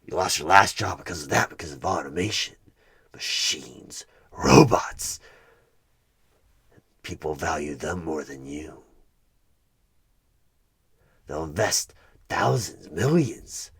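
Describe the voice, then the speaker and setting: Very deep, husky demonic tempter. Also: male voice, lively intonation, a bit bright, heavily acted. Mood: taunting, persuasive. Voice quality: husky, gravelly growl breaking into breathy whispers. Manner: menacing, slow, deliberate, manipulative. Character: sinister male demonic tempter offering a corrupt deal. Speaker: job interviewer. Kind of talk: one-sided horror ASMR audio roleplay.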